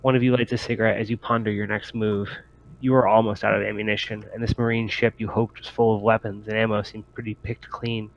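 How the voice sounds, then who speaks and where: A man's voice: lowish voice, little pitch movement, fairly quick, vocal fry. Male game master, slightly dull audio. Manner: descriptive storytelling, improvised. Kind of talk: narrating a sci-fi tabletop roleplaying game.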